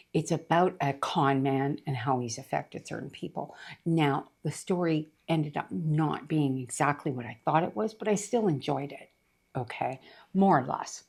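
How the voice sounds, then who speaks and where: A middle-aged woman chatting casually about books she read, her voice rough after being sick, rambling with lots of fillers. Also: low voice, a little mellow. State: voice rough from recent illness. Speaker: book reviewer. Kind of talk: casual book review chat.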